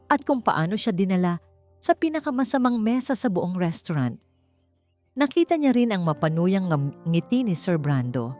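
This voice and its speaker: Low, lively Filipino woman narrating a dramatic story. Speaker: audiobook narrator. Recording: music behind the voice. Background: background music.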